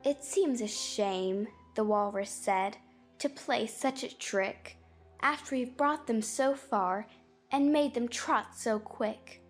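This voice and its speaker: A woman plays an agreeable twin brother from a storybook. The voice is husky and singsong, with faint music behind the verse. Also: on the high side, slow. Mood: storytelling. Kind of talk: dramatized audiobook acting.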